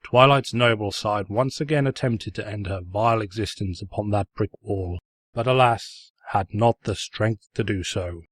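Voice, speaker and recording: A man's voice: fairly low pitch, moderate pace, no echo, lightly acted. A man playing a sarcastic, pompous narrator who mockingly tells a comic fan fiction story. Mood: snide. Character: snide, mocking male storybook narrator who insults the heroine.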